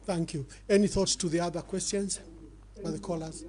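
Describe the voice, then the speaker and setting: A man's voice: sits high, fairly even intonation, moderate pace, darkish tone, slight background noise. A man hosting a political interview in a conversational style. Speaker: interviewer, programme host.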